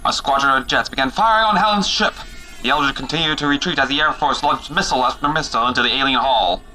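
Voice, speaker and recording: A man's voice: mid-range voice, fast talker, sharp tone, a little hiss or hum, poor audio. A man narrating an audio drama in a dramatic, scripted style with theatrical urgency.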